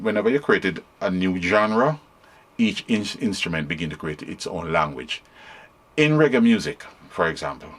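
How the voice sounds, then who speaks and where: An older man talks through music history like a teacher, stopping now and then to play examples. His voice sits very low. Faint music plays behind him. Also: a bit bright, slightly rough. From Jamaica. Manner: teaching, stops to demonstrate on keyboard. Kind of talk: casual explanation with musical demonstrations.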